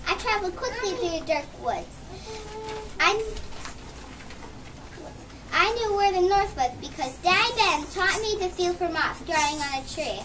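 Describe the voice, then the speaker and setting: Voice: very high-pitched, expressive, unhurried, long pauses, bright, forceful tone, distant mic, a little hiss or hum. -. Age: child.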